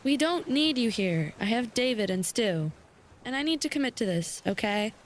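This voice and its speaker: Young female boat captain for audio drama, played by an actress. Anxious, evasive, highish pitch, noisy backdrop. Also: animated, moderate pace, close to an everyday voice. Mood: defensive. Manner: hesitant, evasive.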